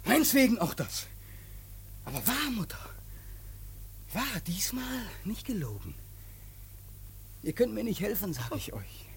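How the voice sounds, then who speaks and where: High-voiced, tormented, defiant young son. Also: male voice, big pitch swings, unhurried, creaky, pauses often, a little hiss or hum, heavily acted. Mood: bitter, threatening. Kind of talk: radio drama acting.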